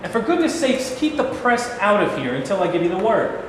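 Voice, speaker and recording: A man's voice: high-pitched, very animated, brisk. A man in a staged play reading, playing an American president who is burdened, commanding and wants reassurance. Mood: brisk, commanding. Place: reverberant room at a live staged reading. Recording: very echoey noisy hall recording.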